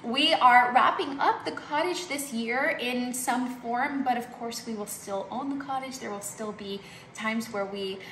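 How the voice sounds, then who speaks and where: A woman's voice: on the high side, unhurried, pushed and bright, echoey room, a little hiss or hum, low-quality recording. A woman in her thirties hosting a casual home tour vlog, gushing and chatty with lots of fillers. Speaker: vlog host. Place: outdoor garden, then indoor home.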